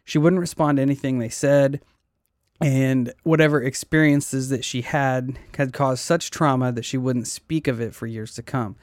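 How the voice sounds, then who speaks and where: A man's voice: medium pitch, dry recording, studio quality. A man hosting a podcast, casually telling a mystery story, swearing often and stumbling over names. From United States. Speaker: podcast host.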